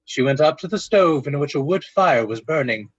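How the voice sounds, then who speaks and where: A man's voice: fairly high pitch, lively intonation, moderate pace, husky, no echo. A man narrating a literary radio drama from a script, in a muffled, dry recording.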